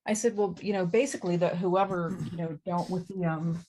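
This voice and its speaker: A woman's voice: on the low side, steady tone, fairly quick, mellow, low-quality recording. A woman speaks in a blunt, offhand, conversational way, prompting like a casual co-host. There is faint noise and some clicking on the recording. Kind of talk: casual chat.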